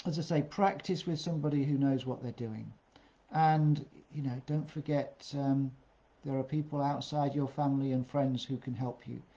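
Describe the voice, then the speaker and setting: A man talks through job interview tips in a mellow, warm voice, and he often stops on "uh". The sound is a little dull and muffled, like a modest home recording. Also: mid-range voice. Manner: plain-spoken, many "uh" fillers. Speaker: career coach. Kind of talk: webinar advice talk.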